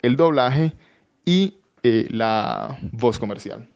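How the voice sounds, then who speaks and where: Colombian man, voice-over artist, halting interview talk, lo-fi recording. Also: mid-range voice, animated, gravelly, a little mellow. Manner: hesitant, many fillers and restarts. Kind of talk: interview answers.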